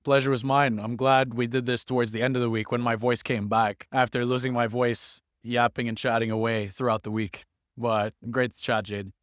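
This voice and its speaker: A man being interviewed as a startup founder, giving earnest, steady advice. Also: medium pitch. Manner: earnest, steady flow of advice, often quick. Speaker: music startup founder, guest. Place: conference venue.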